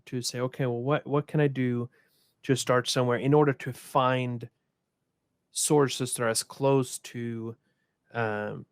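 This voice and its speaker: A man's voice: mid-range voice, creaky, no echo. A man from Sweden moderating a conference panel, speaking thoughtfully with many restarts and repeated words.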